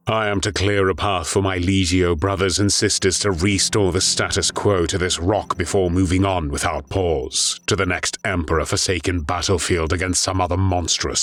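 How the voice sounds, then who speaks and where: A man acts out a weary veteran commander in a science-fiction audio drama. His voice is extremely deep and gruff. He is sardonic and contemptuous in clipped delivery, set over prominent music. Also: a bit bright.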